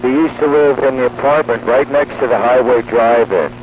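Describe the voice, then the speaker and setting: Man, radio hobbyist, casual chat, average pitch, two-way radio, clear background noise. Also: moderate pace, dull audio. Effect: two-way radio transmission. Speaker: radio hobbyist on a CB round-table.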